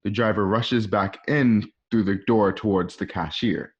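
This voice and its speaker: A man's voice: on the low side, steady tone, measured pace. A man reading scripted scene descriptions as the narrator of an amateur radio play. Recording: dull lo-fi home recording.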